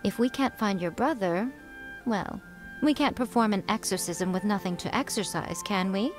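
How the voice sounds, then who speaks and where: A woman's voice: mid-range voice, moderate pace, lightly acted. A woman voicing a sly, teasing shrine priestess. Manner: playful, condescending, amused. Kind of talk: video game voice acting. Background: game music.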